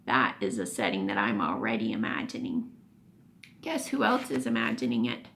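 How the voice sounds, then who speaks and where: A woman teacher reading a children's story aloud expressively, pausing for emphasis and explaining things as she goes. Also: very deep voice, huge pitch swings, creaky, a bit bright, slight background noise.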